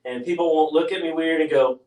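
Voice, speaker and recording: A man's voice: on the high side, brisk, darkish tone. A man co-hosting, reading out viewer comments and joking in a goofy, self-deprecating way. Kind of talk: playful banter. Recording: roomy sound from a distance.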